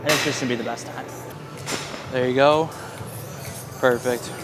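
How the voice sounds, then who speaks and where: A man's voice: mid-range voice, fairly even intonation, normal speed. A young man talking casually as a fitness vlogger, explaining a workout in a gym. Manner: upbeat, coaching cues, casual. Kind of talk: casual workout vlog narration and coaching. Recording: gym music playing behind. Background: music.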